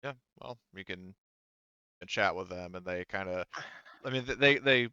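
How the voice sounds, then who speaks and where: Halting man with a bright, low-pitched voice. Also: clean tone, no room sound, highs cut off. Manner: halting, hesitant phrasing, repeats "you know" and "like". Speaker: game master. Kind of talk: running a tabletop roleplaying game, unscripted narration.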